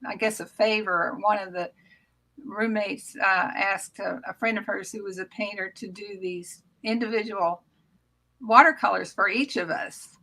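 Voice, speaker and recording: An older American woman in her seventies reminisces casually as an interview guest. Her voice is bright and creaky, at a middling pitch. She speaks from home over a video call. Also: fairly even intonation, a little slow, rough recording. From Oklahoma, United States. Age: 70s. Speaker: guest author. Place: small room at home, indoors. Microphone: computer mic on video call.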